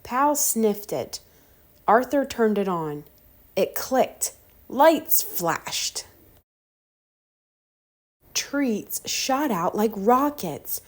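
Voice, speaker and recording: Slow-paced woman reading a storybook. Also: on the high side, animated. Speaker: storybook reader. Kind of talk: children's read-aloud narration.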